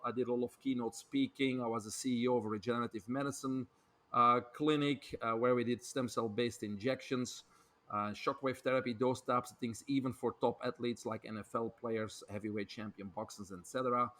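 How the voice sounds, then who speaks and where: A middle-aged man with a Belgian accent talks through his career as a podcast guest, speaking fluently and energetically. His voice sits at an ordinary middle pitch for a man, and he leans on small filler words as he goes. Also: fairly bright tone. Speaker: guest, doctor and author. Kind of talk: podcast interview, storytelling.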